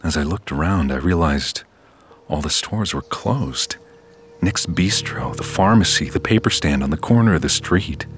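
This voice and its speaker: An actor playing a wary, easygoing young man in an audio drama, hesitant and low-key, who also narrates his own eerie story. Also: animated, normal speed, slight background noise. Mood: tense narration. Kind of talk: audio fiction acting with first-person narration.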